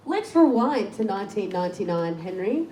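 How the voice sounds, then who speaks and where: A bubbly woman in her thirties from Tasmania hosts with playful teasing and frequent laughter. Her mellow voice sounds distant in a roomy hall, with faint noise behind it. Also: medium pitch, very animated, normal speed. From Tasmania, Australia. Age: 30s. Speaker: host, songwriter. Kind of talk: playful live interview hosting. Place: community venue before an audience.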